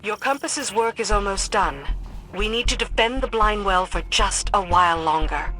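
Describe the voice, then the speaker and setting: A loyal, resolute female lieutenant played by a woman in a game cutscene. She sounds formal and dutiful at a middle pitch, with music clearly behind. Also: normal speed, sharp tone, lightly acted. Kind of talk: video game cutscene acting.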